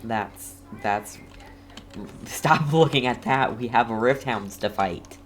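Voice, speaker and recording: A woman's voice: rumbling low, slow, slight background noise. A woman game streamer giving casual, reactive commentary as she plays.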